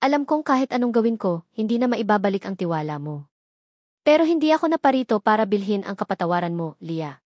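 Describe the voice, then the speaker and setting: A woman's voice: mid-range voice, sing-song, fast, darkish tone, no room sound, clean studio sound. A woman voicing a remorseful, polite young billionaire man in a dramatic story, solemn and pleading. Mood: remorseful, hopeful.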